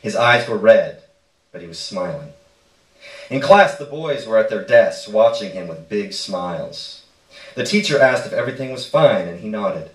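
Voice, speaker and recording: Low-voiced man reading, echoey and distant. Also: expressive, moderate pace. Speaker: story reader. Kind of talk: live literary short story reading. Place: indoor live stage reading. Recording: echoey room, distant microphone.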